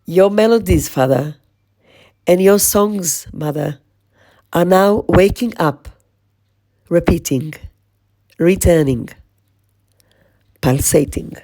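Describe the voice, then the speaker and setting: Slow, husky-toned woman podcast host. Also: fairly low pitch, dark tone. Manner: slow, measured, lingering on lists. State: voice strained, losing her voice. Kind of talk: reflective spoken podcast monologue.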